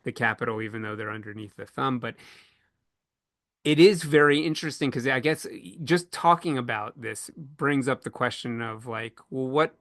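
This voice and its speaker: Guy co-hosting a podcast discussion, creaky fry in his voice, halting, restarts a lot, dry close sound. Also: medium pitch, a bit bright, dry recording. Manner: halting, repeats words and restarts sentences. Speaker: podcast panel co-host. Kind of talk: panel discussion, thinking aloud.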